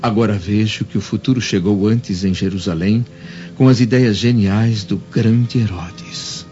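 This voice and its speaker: A man plays a grave Roman senator with a lowish voice and a Brazilian accent. He declaims slowly and reflectively. Music plays clearly behind the slightly dull radio drama sound. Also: dark tone, close to an everyday voice. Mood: admiring. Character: grave, pondering Roman senator gazing over Jerusalem.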